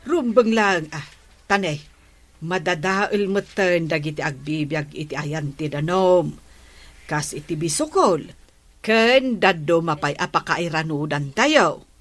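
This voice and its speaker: A woman's voice: low-pitched, measured pace. A woman with an Ilocano accent acting an approving, inquisitive village woman in radio drama dialogue. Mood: earnest.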